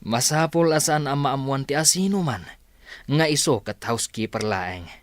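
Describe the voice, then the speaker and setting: Radio drama son, male actor: young, evasive Ilocano man, mid pitch, very lively delivery, dry sound. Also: normal speed, dry recording, lightly acted. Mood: sheepish.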